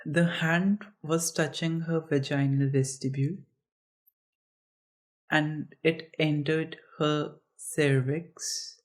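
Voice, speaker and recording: A storyteller reads their own dark fiction aloud at an unhurried, deliberate pace. The reading stumbles and restarts now and then. It breaks off into casual, self-mocking asides between passages. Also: medium pitch, little pitch movement, poor audio. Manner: slow, halting reading broken by chatty asides.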